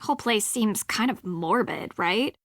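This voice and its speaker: A woman's voice: medium pitch, animated, measured pace, a bit bright, no room sound, studio quality. A woman playing a blunt, sassy young sidekick in a video game, breezy, teasing and impatient. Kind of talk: scripted video game dialogue.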